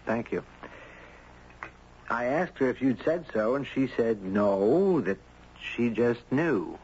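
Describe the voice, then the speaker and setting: A man's voice: mid-range voice, lively intonation, slow, darkish tone. An actor playing a friendly, curious and courteous man who also narrates in a 1970s radio drama. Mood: polite, pleasant. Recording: dull noisy 1970s radio broadcast.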